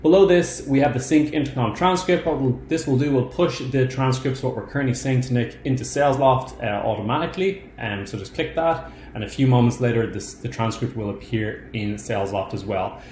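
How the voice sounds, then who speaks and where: A man narrating a step-by-step software demo in an informal, explanatory way. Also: medium pitch, fairly quick. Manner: explanatory, informal instructional delivery. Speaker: software tutorial presenter. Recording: roomy echo, clear background noise.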